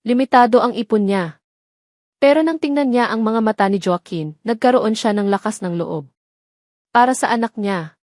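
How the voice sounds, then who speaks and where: A woman's voice: on the high side, moderate pace, a little mellow, no room sound, clean studio sound. A woman reading a scripted, dramatic love story as an audio narrator.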